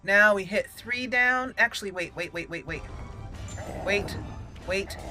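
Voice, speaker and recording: A woman's voice: medium pitch, a little slow, pushed and bright, faint music behind. A woman giving casual let's play commentary as a channel host, thinking aloud and repeating words as she goes.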